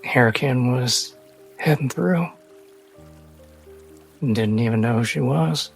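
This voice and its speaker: Male tabletop player, gravelly mid-range voice, in-character fantasy roleplay, faint music. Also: medium pitch, slow.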